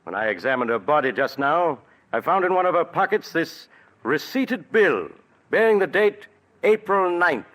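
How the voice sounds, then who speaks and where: A man's voice: fairly high pitch, sing-song, fairly bright tone, low-quality recording. An actor playing a shrewd male doctor exposing a murderer in a 1940s radio drama. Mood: probing. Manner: measured, probing, accusing. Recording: old radio broadcast recording.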